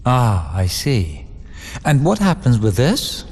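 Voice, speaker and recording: An actor playing a gentle, thoughtful captive companion in a video game. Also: male voice, huge pitch swings, slow pace, exaggerated voice. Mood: wry, curious. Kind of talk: dramatic video game acting. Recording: background rumble and noise.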